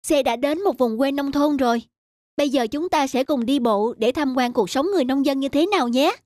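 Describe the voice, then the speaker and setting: A woman voicing a gentle, patient schoolteacher in a children's cartoon, speaking in a clear, instructive way. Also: high-pitched, fairly quick, dry recording, clean studio sound, natural voice. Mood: announcing. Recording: music behind the voice.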